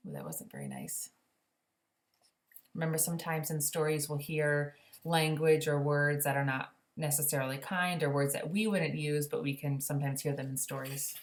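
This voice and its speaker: A woman reading a children's story aloud expressively, pausing to explain it in simple words. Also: low-pitched, expressive.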